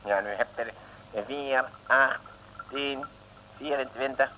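Radio-play crook: crafty Italian fence, Italian accent, forceful bright voice, faint hiss behind. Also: male voice, medium pitch, slow. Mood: appraising. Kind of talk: 1950s-60s comic radio play acting. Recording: noisy old radio recording.

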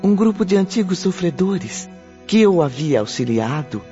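An actor playing a reflective, grateful spiritist medium, narrating solemnly in a radio drama. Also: male voice, sits high, sing-song, normal speed, dark tone. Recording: dull lo-fi audio. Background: music.

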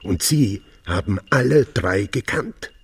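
A man's voice: fairly low pitch, lively intonation, slow pace, slightly rough, heavily acted. An actor playing a cold, sardonic male police inspector in a radio play, interrogating with dry, sarcastic accusations.

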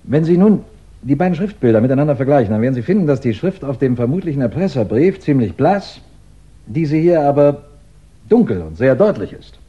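A calm, polite male investigator for vintage radio crime drama; mellow, very varied intonation, deliberate questioning, slightly muffled recording. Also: mid-range voice, breathy edge. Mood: measured, explanatory. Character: calm, courteous, probing male police investigator. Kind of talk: 1960s German radio crime play acting.

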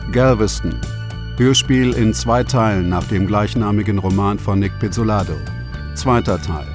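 Lowish-voiced male radio drama announcer. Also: moderate pace, music in the background. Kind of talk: scripted title announcement.

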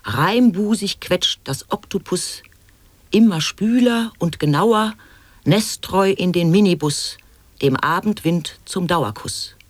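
An actor in a radio play voicing an eccentric declaimer of absurd wordplay and rhymes in theatrical, deliberate German stage delivery. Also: medium pitch, lively intonation, dry recording. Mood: playful, declaiming. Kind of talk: avant-garde radio drama monologue, sound poetry.

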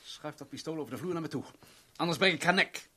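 A man's voice: fairly high pitch, fast talker, pushed and bright, breathy edge, full character voice. An actor playing a menacing, domineering rich businessman in a radio play, sneering and taunting as he gives orders. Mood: threatening. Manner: commanding, taunting, theatrical. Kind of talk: 1970s Dutch radio play acting. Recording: noisy old radio drama recording.

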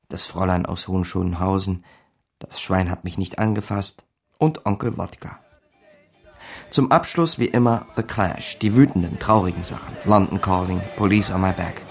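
Over music, a wily small-time crook narrating a radio crime story. The voice is low and heavily creaky, telling it in the first person like scripted storytelling. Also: male voice, normal speed. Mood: wry, storytelling.

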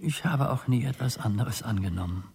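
A man's voice: mid-range voice, lively intonation, brisk, creaky, darkish tone, breathy edge, no echo, heavily acted. An actor playing an anxious, earnest male undertaker in a German radio play, formal and polite, stammering when flustered. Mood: quiet, solemn. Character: anxious, earnest small-town male undertaker, secretive and proper.

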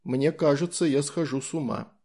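A man's voice: fairly high pitch, moderate pace, gravelly, dark tone. A man voicing a bewildered boy adventurer in an audiobook, shaken, hesitant and incredulous.

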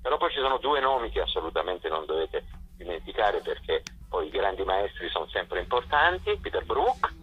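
A festival director, a man, speaking in a radio phone interview and often pausing with fillers as he searches for words. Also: low voice, very animated, husky. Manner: hesitant, with frequent fillers. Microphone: telephone. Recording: dull phone line.

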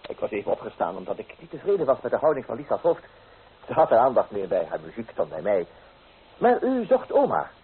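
An actor playing a young, amorous painter, coaxing and theatrical, in an old radio drama. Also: male voice, mid-range voice, sing-song, fairly quick, lightly acted. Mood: breezy, over-eager. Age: young adult. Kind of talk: old radio play acting. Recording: tinny old radio recording, hissy.

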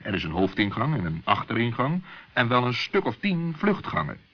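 A man narrating a children's radio story in an expressive storytelling style with a husky voice. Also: mid-range voice, normal speed. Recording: muffled old radio play recording.

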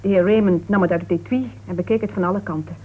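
A woman's voice: lowish voice, steady tone, fast talker, slightly rough. A woman playing a composed but defensive female suspect in a Dutch radio play, answering in a clipped, formal, resigned way. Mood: formal, guarded. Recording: old radio recording, narrow tinny band, hiss.

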